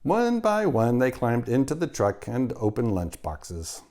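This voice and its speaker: A man, a teacher, reading a children's chapter book aloud with expressive storytelling. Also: medium pitch, measured pace.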